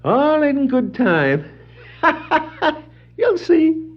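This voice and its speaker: High, swooping clownish master criminal. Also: male voice, sing-song, very slow, full character voice. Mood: gleeful cackling. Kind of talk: 1960s children's record dramatization of a comic book. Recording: muffled 1960s vinyl record.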